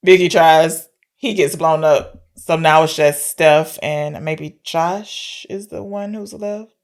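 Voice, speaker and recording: A woman's voice: low-pitched, fairly even intonation, takes it slowly. Casual woman co-hosting a film podcast. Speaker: podcast co-host.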